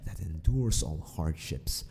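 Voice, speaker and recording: A man playing a sadistic, possessive mad scientist in an ASMR roleplay, mock-polite and menacing, often sinking into a breathy whisper. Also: a bass voice, animated, a little slow, mellow, heavily acted.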